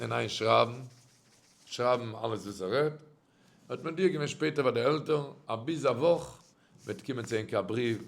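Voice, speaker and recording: A middle-aged man with a Yiddish accent delivers a storytelling sermon. His voice sits at an ordinary male pitch. He keeps swinging between loud, raised phrases and quiet, dropped ones. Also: vocal fry. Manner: animated storyteller, voice rising and dropping sharply. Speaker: rabbi giving a religious talk.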